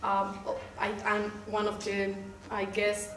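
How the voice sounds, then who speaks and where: A woman's voice: mid-range voice, completely flat delivery, slow pace. A woman from southern Spain with a Spanish accent introduces herself to a group in English as a second language, hesitantly searching for words. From Malaga, southern Spain. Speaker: workshop participant. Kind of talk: informal self-introduction to a group. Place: echoey room. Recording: roomy, distant mic, faint noise.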